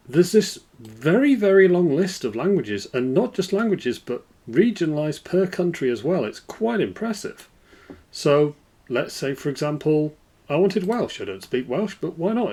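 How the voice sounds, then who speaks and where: A man hosting a screen-recorded software walkthrough, explaining in a relaxed way. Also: fairly high pitch, slightly rough. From United Kingdom. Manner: relaxed explanatory, lively intonation. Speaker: tech video host.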